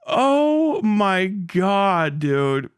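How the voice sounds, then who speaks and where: A man doing a casual livestream reaction in an animated, incredulous and profane way, breaking easily into laughter. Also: high voice, very slow, smooth. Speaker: livestream host. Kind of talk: casual livestream reaction, reading messages aloud with profane commentary.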